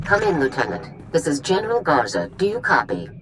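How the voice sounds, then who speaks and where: A stern low-voiced general. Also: female voice, very animated, brisk, background noise. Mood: commanding. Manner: crisp, authoritative briefing. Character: stern, commanding female army general. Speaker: general giving a briefing.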